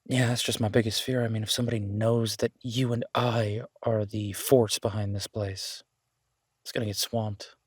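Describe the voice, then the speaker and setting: Easygoing chef, husky and close-miked, played by a man. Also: fairly low pitch, flat delivery, normal speed, no echo. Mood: relaxed, affectionate. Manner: casual, folksy, reassuring. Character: easygoing down-home male chef, affectionate restaurant owner. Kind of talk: audio roleplay acting.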